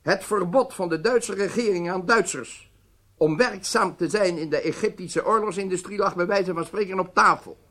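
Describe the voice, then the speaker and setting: Stern president in a radio drama, highish male voice, formal n deliberate, defends himself firmly. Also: fairly bright tone. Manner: formal, deliberate, emphatic phrasing. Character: stern authoritative male head of state, measured and defensive.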